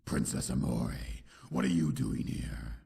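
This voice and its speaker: A man voicing a brooding, vengeful shadow pony villain in a comic dub, with a gravelly voice and a theatrical delivery. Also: rumbling low, huge pitch swings, measured pace, dark tone, heavily acted. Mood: angry, hurt. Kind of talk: dramatic comic dub narration and dialogue. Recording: roomy, distant, faintly noisy home recording.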